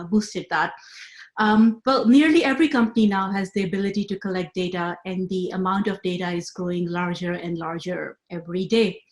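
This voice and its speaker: Pakistan-born woman lecturing in an echoey room. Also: on the low side. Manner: clear, measured lecturing with frequent fillers. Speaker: webinar speaker. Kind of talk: presenting a webinar talk.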